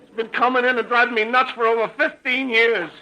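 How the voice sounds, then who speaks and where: A man's voice: very high voice, flat delivery, fairly quick, muffled recording. An actor playing a nervous, long-suffering salesman husband in 1950s radio comedy, stammering and fretful. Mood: anguished. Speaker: comic actor.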